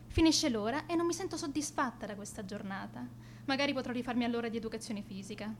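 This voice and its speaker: An actress playing a vain, contemptuous high school girl bully in a radio drama monologue, haughty and self-absorbed. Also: a little hiss or hum, natural voice. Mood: irritated, scornful.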